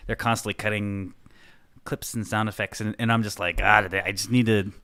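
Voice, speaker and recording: A man with a somewhat low voice hosts a podcast in loose, rambling talk. He is chatty and self-deprecating, and his sentences drift and restart as he goes. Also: flat delivery, moderate pace, bright, forceful tone. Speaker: podcast host. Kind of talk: casual chat.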